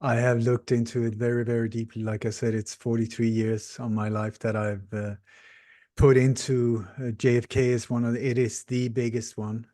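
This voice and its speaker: A man in his sixties, born in Denmark and raised in Sweden, speaking English in a podcast interview, explaining things earnestly and steadily with frequent pauses. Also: mid-range voice, flat delivery, mellow, no room sound, clean studio sound. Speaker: interview guest, researcher.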